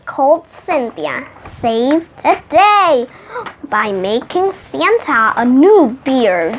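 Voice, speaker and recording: A very high, youthful voice chatting playfully and helping to read a picture book aloud. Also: very animated, drawn-out words, a little hiss or hum. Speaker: young co-reader of a picture book.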